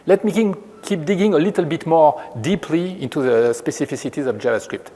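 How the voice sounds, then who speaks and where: A man from France with a French accent, giving a measured technical lecture as a researcher at a conference. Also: fairly high pitch, dark tone. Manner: measured, explanatory, frequent restarts. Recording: long reverb of a hall.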